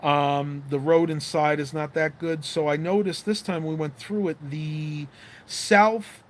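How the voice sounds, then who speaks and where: A man's voice: a little high, steady tone, unhurried, a little hiss or hum. A man hosting a casual livestream, rambling through viewer comments with lots of fillers while his voice goes dry and fades. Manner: rambling, chatty, with many fillers. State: losing his voice, dry mouth. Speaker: livestream host.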